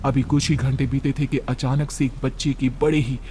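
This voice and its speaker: A man's voice: medium pitch, little pitch movement, normal speed, background noise. A man narrating a scripted story in a dramatic storytelling style.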